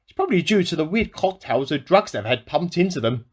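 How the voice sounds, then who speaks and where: Someone acting a bemused, animated amateur scientist. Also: medium pitch, a little fast, slight background noise, close to an everyday voice. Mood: puzzled, matter-of-fact. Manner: musing aloud, self-questioning, slightly formal and earnest. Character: bemused amateur scientist keeping an audio diary, increasingly suspicious. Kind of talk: radio play monologue, spoken audio diary.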